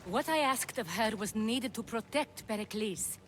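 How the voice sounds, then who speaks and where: A woman's voice: fairly high pitch, animated, moderate pace, fairly bright tone, a little hiss or hum. An actress playing a composed, persuasive Athenian noblewoman, firm and defensive, in a dramatic video game cutscene.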